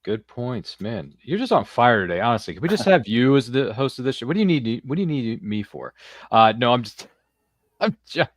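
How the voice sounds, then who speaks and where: A man's voice: mid-range voice, very animated, brisk, no echo, clean studio sound. An American man with a jokey, slangy way of talking, chatting casually as a podcast host. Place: studio.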